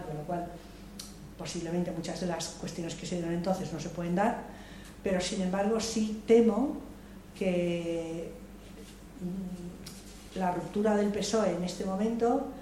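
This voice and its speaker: A woman's voice: on the low side, warm tone. Older woman in an echoey hall. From Madrid. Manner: reflective, hesitant, many restarts and repeated words. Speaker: debate speaker. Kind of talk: spontaneous political talk at a public debate. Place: large hall, public debate session. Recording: echoey room, voice from far off, faint noise.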